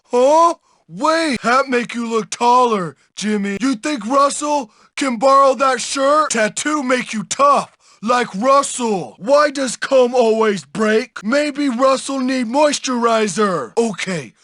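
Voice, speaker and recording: Big dumb schoolyard bully, high forceful strained voice, broken English, childlike aggression, game character. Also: male voice, takes it slowly, no room sound, clean studio sound, heavily acted. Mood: friendly, dopey. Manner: blunt broken English, refers to himself in third person. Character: huge dim-witted schoolyard bully boy, aggressive yet childlike. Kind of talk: video game character lines.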